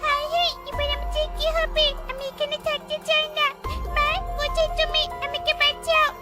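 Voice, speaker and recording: Very high, plaintive little bird chick. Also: female voice, monotone, moderate pace, music in the background. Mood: tearful, frightened. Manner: plaintive, childlike. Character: distressed little bird chicks, childlike and pleading. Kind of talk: children's cartoon dubbing.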